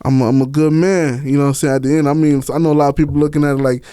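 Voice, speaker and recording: A man's voice: on the high side, steady tone, rapid-fire, dark tone, clear, resonant tone, no room sound. A man telling personal stories casually as an interview guest, slangy and unfiltered, swearing freely. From Miami, Florida. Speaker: interview guest, author and stage play director.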